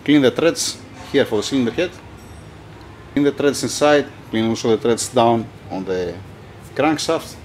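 A man's voice: medium pitch, moderate pace, darkish tone. Greek-native male mechanic, mid-range voice, talks himself through the work, faint air-con hum. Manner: thinks aloud, restarts often, non-native phrasing. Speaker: mechanic filming his engine rebuild. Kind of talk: casual workshop narration. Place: indoor engine workshop. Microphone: handheld camera.

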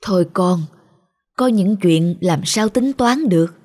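Tired gentle dad character, soothing and patient, kind of poorly, cartoon drama. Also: male voice, high voice, takes it slowly. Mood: weary, soothing. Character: gentle, weary, forbearing ailing father.

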